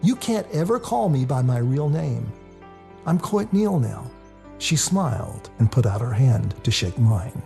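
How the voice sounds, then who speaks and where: A man narrates a first-person story in a confessional, expressive way. His voice is dark and mellow with a heavy gravelly fry, and soft music plays underneath. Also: medium pitch, unhurried. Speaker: author reading their own novel.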